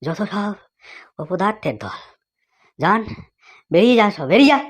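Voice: medium pitch, big pitch swings, close to an everyday voice. Stern, haughty cartoon doctor acted out. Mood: scolding. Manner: slow, scolding, haughty. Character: stern, scornful, bossy clinic doctor. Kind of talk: comedy cartoon voice acting.

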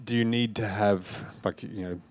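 A man's voice: lowish voice, steady tone, moderate pace. A man in an audience putting a casual, tentative technical question to a speaker. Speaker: audience member asking a question.

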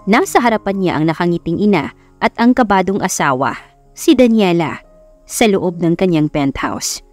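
A woman's voice: lowish voice, animated, normal speed, a little mellow. A woman with a Filipino accent reading a scripted romance story as a dramatic audiobook narrator. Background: music behind the voice.

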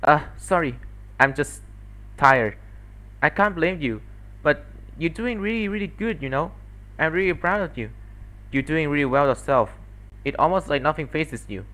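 A man's voice: fairly high pitch, expressive, moderate pace, pauses often, a bit bright, rough recording. A man voicing an anxious, tired, tender-hearted girl heroine with a hesitant manner, in an amateur visual novel fandub. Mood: weary, then admiring.

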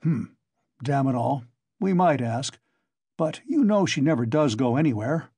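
A man's voice: mid-range voice, expressive, unhurried, mellow, slightly rough, no room sound. A man voicing a big, impatient, irascible visitor in an audiobook, exasperated and given to cursing.

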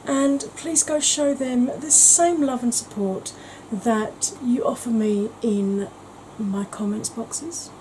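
Rambling woman, mellow voice. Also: a little high, takes it slowly, hardly any pauses, slight background noise, rough recording. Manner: rambling, self-mocking, soft-spoken at times. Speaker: makeup vlogger. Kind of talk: casual chatty makeup vlog. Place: indoor home room, kitchen.

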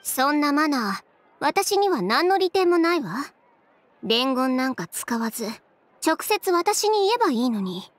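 A woman's voice: high voice, big pitch swings. A woman voicing a proud, cool-headed young fashion designer who speaks bluntly and with self-assurance in an anime game. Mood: impatient. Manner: direct, brisk, self-assured. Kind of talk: Japanese anime game voice acting.

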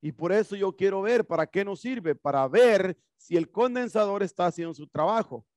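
A man's voice: high voice, expressive, moderate pace, a little mellow, dry recording. A man in his thirties teaching an HVAC class in a classroom, asking questions and answering them himself. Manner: explanatory, asks and answers his own questions. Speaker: HVAC instructor. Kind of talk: classroom lecture. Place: classroom with whiteboard and HVAC equipment. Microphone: headset mic.

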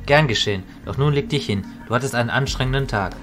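A man plays a knowledgeable mentor mage in a fantasy audio drama. He has a mid-pitched voice and explains things calmly and with measure. Music plays behind him. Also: fast talker, natural voice. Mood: reassuring. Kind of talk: hobby audio drama storytelling.

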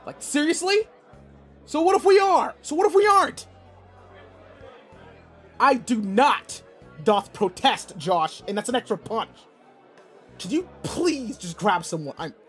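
A man's voice: very high-pitched, very animated, unhurried, pauses often, breathy edge, soft background music, full character voice. A man playing a brusque, anxious young student bully who secretly cares, in an audio roleplay drama with emotional delivery. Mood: flustered, frantic.

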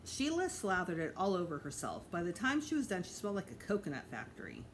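A woman's voice: fairly low pitch, wildly swooping pitch, fast talker. A middle-aged woman reading a children's story aloud like a teacher to pupils, expressively and with small slips and self-corrections. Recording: clear background noise, home recording.

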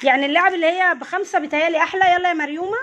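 امرأة مصرية سريعة الكلام بصوت عالٍ. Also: female voice, extremely high pitch, rapid-fire, muffled recording, background noise. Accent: Egyptian Arabic. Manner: chatty, coaxing the kids along. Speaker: channel host. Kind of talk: casual unboxing chat with children. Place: home, indoors, family around. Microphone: phone held by hand.

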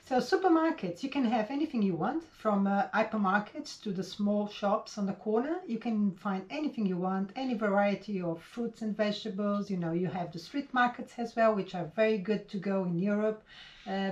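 A woman's voice: mid-range voice, normal speed, a little mellow. A woman co-hosting a sailing channel, giving short practical tips in casual chat from a boat cabin. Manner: brief agreeing interjections, practical tips. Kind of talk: casual travel guide chat. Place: boat cabin, seated indoors. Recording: echoey, dull lo-fi sound.

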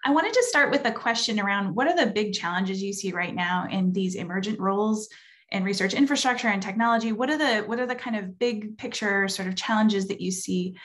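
A woman moderates a panel discussion in an informal, conversational way. Her voice sits at a medium pitch as she hands questions over to the guests. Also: brisk, fairly bright tone. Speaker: panel chair.

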